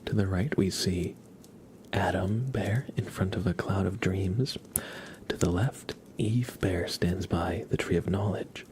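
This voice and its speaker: A man hosting an ASMR livestream, talking softly in a gentle, unhurried, chatty way to his viewers. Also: low-pitched, slight background noise. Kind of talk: ASMR, soft whispered live chat and reading.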